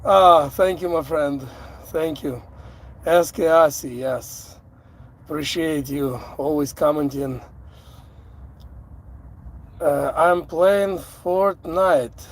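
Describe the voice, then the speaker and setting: A middle-aged man from Ukraine, a native Russian speaker with a Russian accent, chatting casually on a live stream in slow, halting speech full of fillers and restarts, recorded on a phone in a vehicle. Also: fairly high pitch. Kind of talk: casual live-stream chat, reading out viewer comments. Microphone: phone without an external microphone.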